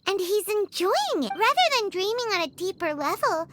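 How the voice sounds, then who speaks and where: A woman voicing a tiny, childlike fairy companion in a video game, eager and naive, with an excitable manner. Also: extremely high pitch, normal speed, heavy background noise. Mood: puzzled. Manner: excitable, refers to herself in third person. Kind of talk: video game character acting. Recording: game music playing behind.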